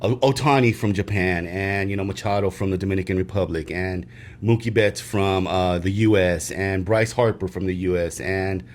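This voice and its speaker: A man with a lowish voice talks through a casual sports debate. He rambles, stumbles through restarts and fillers, then builds into emphatic, animated bursts. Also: moderate pace. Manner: animated, emphatic when excited. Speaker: podcast co-host.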